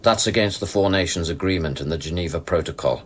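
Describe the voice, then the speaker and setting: An actor in a radio play, playing a sober, skeptical psychologist on a space mission who questions in an insistent, probing way. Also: male voice, low-pitched, fast, slight background noise, lightly acted. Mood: incredulous. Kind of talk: dramatic radio play acting.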